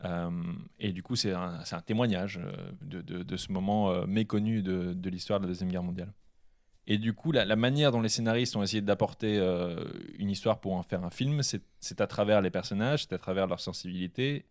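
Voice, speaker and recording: A man co-hosting a film podcast, giving a measured review with frequent hesitations. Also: sits low, dry recording, clean studio sound. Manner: hesitant, many fillers and restarts, measured. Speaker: podcast co-host. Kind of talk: casual film review chat.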